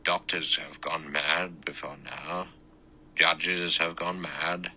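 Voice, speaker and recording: A man voicing an elderly male judge with a small, clear, thin and sour voice, speaking slowly and measured. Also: extremely low pitch, level tone, pushed and bright, a little hiss or hum, heavily acted. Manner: slow, measured, dry.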